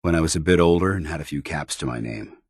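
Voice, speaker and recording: An actor playing a stern, loyal, idealistic soldier companion in a video game, with a clipped, earnest, authoritative military delivery. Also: male voice, rumbling low, quick pace, slightly rough, dry recording, studio quality. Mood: sombre, confiding. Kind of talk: video game character dialogue.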